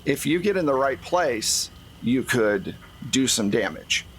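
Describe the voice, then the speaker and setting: A man's voice: mid-range voice, very animated, unhurried, a little hiss or hum, rough recording. A man speaking as game master, narrating a tabletop game in a casual way.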